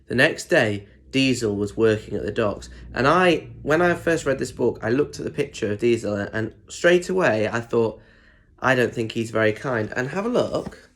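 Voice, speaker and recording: A man's voice: medium pitch, lively intonation, moderate pace, a bit bright. A man reads a picture book aloud for young children. He narrates expressively, then breaks off into chatty asides, asking simple questions about what the pictures show. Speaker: storyteller reading aloud to children.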